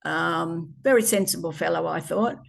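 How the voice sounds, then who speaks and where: Older aussie woman, bit of fry in her voice, lots of ums, thoughtful. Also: lowish voice, normal speed. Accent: Australian. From Australia. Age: 70s. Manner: hesitant, many ums, reflective. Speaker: interviewee. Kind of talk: oral history interview.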